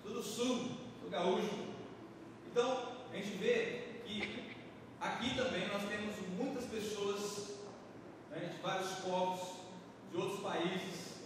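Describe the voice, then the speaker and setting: A male pastor from Brazil preaches in a chatty, conversational style, his voice sitting fairly high for a man. He is picked up from a distance, with a long reverberant church hall tail. Steady room noise sits underneath. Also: takes it slowly, pauses often. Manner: conversational preaching, frequent tag questions to the congregation. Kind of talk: sermon. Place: church sanctuary. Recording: echoey church hall from far off with background noise.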